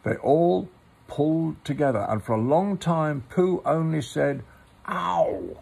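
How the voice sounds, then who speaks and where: A man reads a children's storybook at a slow, measured pace. His voice is very creaky and husky, with pauses and informal asides to the listener. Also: on the high side, warm tone. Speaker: storybook reader.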